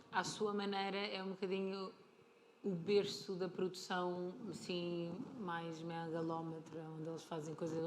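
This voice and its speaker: A woman with a European Portuguese accent, speaking casually and explaining things as a guest in a live stage conversation. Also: mid-range voice. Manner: chatty, explanatory, informal. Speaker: interview guest, film and television producer. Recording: clear ambient noise of busy convention.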